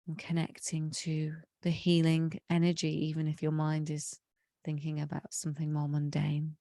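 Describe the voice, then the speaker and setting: A woman leading a guided meditation in a slow, soothing way, her voice slightly croaky from a cold. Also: low voice, mellow, no echo, clean studio sound. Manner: slow, soothing, drawn-out phrasing. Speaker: meditation leader.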